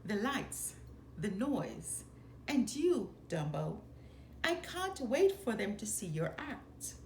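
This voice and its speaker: A woman voicing an eager, devoted young male circus mouse in an earnest, expressive storybook read-aloud. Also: mid-range voice, slow pace. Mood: excited. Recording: roomy echo, faint background noise.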